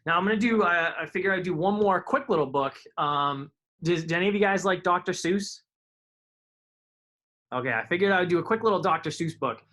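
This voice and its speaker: A man's voice: sits high, animated, brisk, pushed and bright. A man reading children's picture books aloud in a live storytime, with informal asides to his young listeners.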